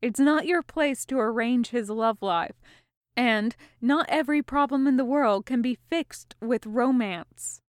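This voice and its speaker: Worldly dwarf storyteller type, male, played by a woman, knowing, wry, measured pace, dry. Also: on the high side, no room sound, lightly acted. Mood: weary, firm.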